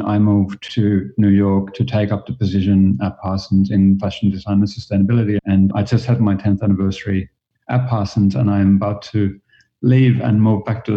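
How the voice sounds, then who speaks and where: Finnish-accented man in his forties, podcast guest, measured and thoughtful, dark tone. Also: on the low side, muffled recording. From Finland. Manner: thoughtful, measured, with occasional restarts. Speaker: guest, fashion educator. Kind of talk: podcast interview.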